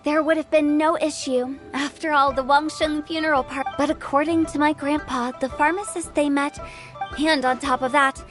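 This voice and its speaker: A woman's voice: sits high, fairly even intonation, moderate pace, music in the background, close to an everyday voice. A woman playing a cheeky young funeral parlour director in a video game, youthful, theatrical, wordy and teasing. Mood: suspicious. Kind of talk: video game character acting.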